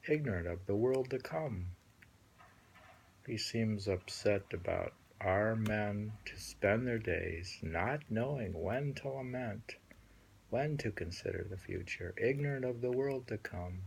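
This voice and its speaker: A man reading translated poems aloud and musing on them casually, in a halting manner with repeated phrases and a husky, gravelly voice. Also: lowish voice, low-quality recording. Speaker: reader and host.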